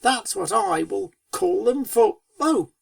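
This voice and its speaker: Woman as a very creaky, theatrical pony storyteller. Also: mid-range voice, expressive, slow, no echo, studio quality, recorded from a distance, full character voice. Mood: spooky, theatrical. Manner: dramatic, schoolteacherly, chiding asides. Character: pony storyteller spinning a spooky tale to rowdy foals. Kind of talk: theatrical storybook narration in verse.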